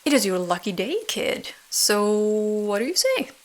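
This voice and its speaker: A woman's voice: mid-range voice, huge pitch swings, normal speed. A woman voicing a sly male coachman in a bedtime story, coaxing and curt. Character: sly male coachman luring and selling boys. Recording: rain falling behind the voice.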